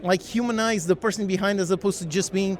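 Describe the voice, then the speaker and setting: Young guy w/ pretty high voice, laughy casual chat, lots of uh and i dont know. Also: fairly even intonation, fast. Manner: laughs often, hesitant fillers, quotes himself. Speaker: game dev YouTuber, guest. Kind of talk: casual conversation.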